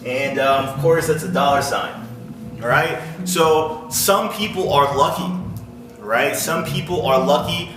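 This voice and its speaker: A man delivers an informal lesson in the manner of a straight-talking coach. He explains step by step and keeps checking that the listener follows. The sound is roomy and echoing, with music clearly heard behind his voice. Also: a little high, a little slow. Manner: explanatory, blunt, often checks in with "all right?". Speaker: music industry coach, video host. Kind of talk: informal instructional talk at a board.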